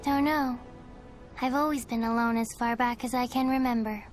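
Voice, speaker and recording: Actress for a tough little street orphan; high, flat, unimpressed. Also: female voice, moderate pace, faint music behind, close to an everyday voice. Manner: flat, blunt. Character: blunt streetwise orphan girl. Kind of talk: game cutscene voice acting.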